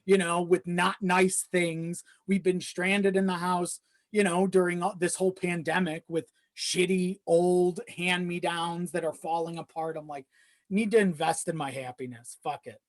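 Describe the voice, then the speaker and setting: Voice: mid-range voice, fairly even intonation, a little slow. A young adult talking casually and candidly in a rambling way, with casual swearing. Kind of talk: casual chat. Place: home office in a small self-built shed. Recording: slightly echoey unpadded shed.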